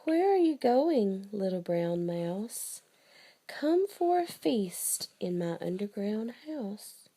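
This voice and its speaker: A sly, hungry fox in a storybook, voiced by a woman. The invitation is coaxing and slow, with a mellow, creaky tone. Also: mid-range voice, very animated, full character voice. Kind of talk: bedtime storytelling.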